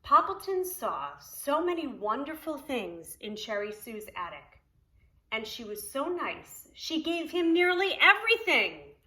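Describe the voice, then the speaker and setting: A woman's voice: high voice, very animated, a little slow, pushed and bright, poor audio. A woman preschool teacher reading a story aloud to young children, expressively, with simple explanatory asides.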